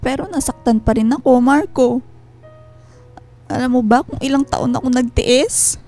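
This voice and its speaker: A woman's voice: high voice, unhurried, mellow. An actress playing a hurt, weary wife and mother in an emotional, earnest Filipino radio drama. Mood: hurt, reproachful. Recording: music bed under the voice.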